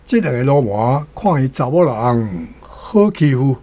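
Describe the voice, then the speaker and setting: Guy rereading bits of a book and breaking them down, normal pitch. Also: big pitch swings, unhurried, mellow, no room sound. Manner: rereads and explains phrases. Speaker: guest commentator. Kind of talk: explaining a passage from a book.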